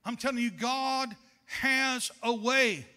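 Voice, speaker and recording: High, bright-voiced older preacher man. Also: takes it slowly. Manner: fervent preaching, rising to shouts; addresses congregation as honey. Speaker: pastor. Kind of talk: sermon. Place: church sanctuary at a pulpit. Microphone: handheld microphone.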